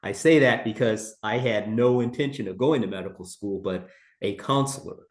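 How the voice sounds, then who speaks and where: A man from Cleveland, Ohio, a guest specialist telling his life story in a measured, hesitant way. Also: on the low side. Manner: measured, hesitant with frequent pauses. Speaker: infectious disease specialist, guest. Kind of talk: personal story on a panel. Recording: echoey room.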